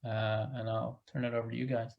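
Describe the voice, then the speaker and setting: A man's voice: medium pitch, flat delivery, brisk, gravelly. A man chatting casually as a panelist on a video call. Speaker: artistic director on a discussion panel. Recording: slightly dull video call audio.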